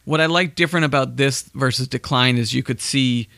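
A man's voice: mid-range voice, a little fast, vocal fry, bright, forceful tone, dry recording, clean studio sound. A man from the Boston area, co-hosting a podcast in casual chat, hesitant and restarting often as he explains his opinions. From Massachusetts, outskirts of Boston. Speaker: podcast co-host.

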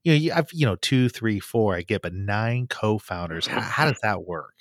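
A man's voice: lowish voice, animated, moderate pace, dry recording, clean studio sound. A man hosting a casual interview, asking informal, wordy questions that restart and circle around. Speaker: interview host.

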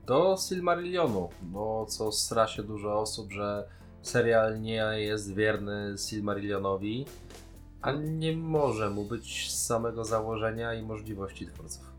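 A man's voice: lowish voice, lively intonation, a little slow, soft background music. A man co-hosting a casual podcast discussion, talking in an informal, blunt way. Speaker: podcast co-host.

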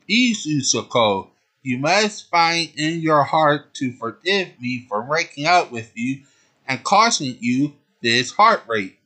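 A man doing a gentle, pleading young hero. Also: fairly high pitch, sing-song, takes it slowly, voice pushed up, heavily acted. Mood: comforting, pleading. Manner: soft, comforting, begging.

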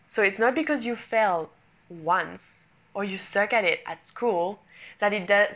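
A woman in her twenties speaks English with a French accent, clearly not her first language. She tells her own story in an earnest, personal way, repeating and reworking phrases as she goes. Her pitch sits in a middle range for a woman. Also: fairly bright tone. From France. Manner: earnest, repetitive phrasing, non-native English grammar. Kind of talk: personal motivational monologue.

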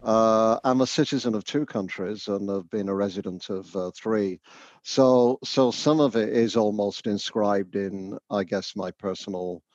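Low-voiced Northern English man. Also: fairly even intonation, measured pace, a little mellow, dry recording, highs cut off. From north of England. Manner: thoughtful, with many fillers and restarts. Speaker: education researcher. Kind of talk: interview answers.

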